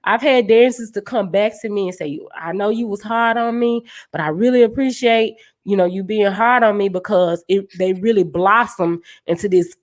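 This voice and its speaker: A woman with a deep voice, hosting a casual podcast chat and speaking in an earnest, encouraging way as a dance team leader. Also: moderate pace, hardly any pauses.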